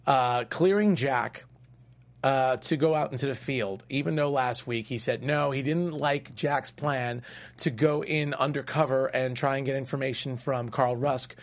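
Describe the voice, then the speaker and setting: A man's voice: mid-range voice, measured pace. A man co-hosting a casual TV recap chat, rambling and restarting his sentences. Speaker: TV recap show co-host.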